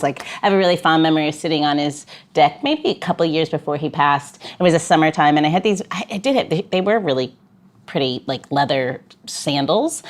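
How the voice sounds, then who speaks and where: Low voiced woman host, rambles and jokes, casual podcast talk. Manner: chatty, jokey, rambling restarts.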